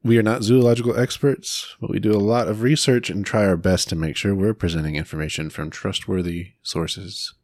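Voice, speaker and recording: A man's voice: on the low side, steady tone, fairly quick, hardly any pauses, darkish tone, dry recording, clean studio sound. A man co-hosting an animal podcast in casual chat, laid-back and wry, often chuckling. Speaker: podcast co-host.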